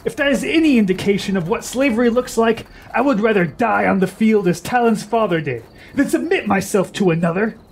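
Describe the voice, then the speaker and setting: Man playing a proud, high-voiced young warrior prince. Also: fairly quick, gravelly, hardly any pauses, slight background noise. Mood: defiant. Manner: haughty, dramatic. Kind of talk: radio drama acting.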